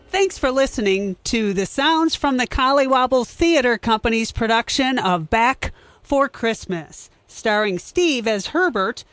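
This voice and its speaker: A woman announcer reading scripted closing credits in the style of a radio drama. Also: fairly high pitch. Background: music.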